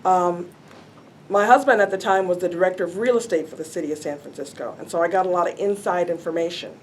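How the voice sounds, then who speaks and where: An older woman addresses a city hall meeting in a fairly low, full voice that carries without strain. She speaks with earnest emphasis. A faint hum of room noise sits behind her. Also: fast talker. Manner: emphatic, earnest, self-described loud voice. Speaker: arts commissioner speaking at a public meeting. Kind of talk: public meeting statement.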